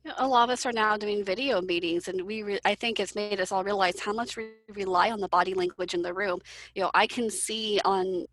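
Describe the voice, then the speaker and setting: A woman who teaches visually impaired children, explaining her methods in a relaxed webinar conversation over a video call. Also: mid-range voice, brisk, rough recording. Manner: explanatory, acts out example phrases. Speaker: educator for visually impaired students.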